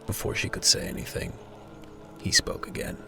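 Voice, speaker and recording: A man reading scripted narration for a military audio drama as its narrator. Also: moderate pace. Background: music.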